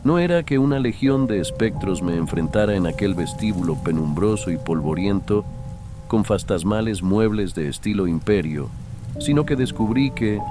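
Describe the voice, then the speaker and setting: A man narrating a literary horror story in a solemn, measured manner. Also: lowish voice. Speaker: audiobook narrator.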